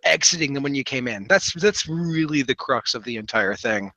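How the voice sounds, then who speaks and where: A man in earnest, conversational discussion, speaking haltingly and reflectively and repeating words for emphasis. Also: mid-range voice, lively intonation, pushed and bright, no room sound.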